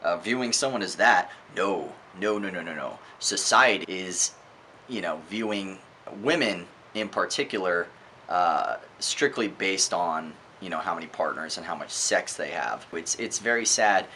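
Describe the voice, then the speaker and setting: A man with a slightly low, sharp and forceful voice talks casually as a vlog host. He is emphatic and opinionated, repeats words to drive them home and swears freely. Faint noise sits behind the voice. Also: a little slow. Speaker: vlog host reviewing books. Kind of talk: casual opinionated video monologue.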